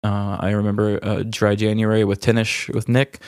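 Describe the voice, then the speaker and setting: Male podcast host, low register, raw and reflective, halting delivery through grief, dry recording. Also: flat delivery, a little mellow, clear, resonant tone, no room sound. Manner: halting, reflective, emotional, with pauses. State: grieving. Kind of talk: raw, candid personal conversation.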